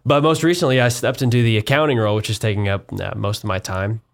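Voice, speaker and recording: A man's voice: lowish voice, fairly even intonation, quick pace. A young man from Kansas chatting in an interview about his parish work and hometown, rambling with many fillers and restarts and a dry, self-deprecating humor. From Wichita, Kansas. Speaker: parish communications and development coordinator, guest. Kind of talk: casual interview conversation.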